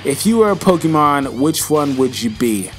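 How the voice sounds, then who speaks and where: A man's voice: fairly high pitch, sing-song, moderate pace, soft background music. A young man answering viewer questions in a casual vlog, rambling and slangy and calling viewers bro, with his voice starting to go. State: losing his voice.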